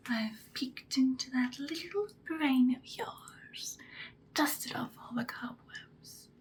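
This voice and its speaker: A woman playing a seductive vampire queen in an ASMR roleplay, whispering breathily in a soothing, predatory, deliberate way. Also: high-pitched. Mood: intimate, coaxing. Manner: slow, deliberate, hypnotic soothing.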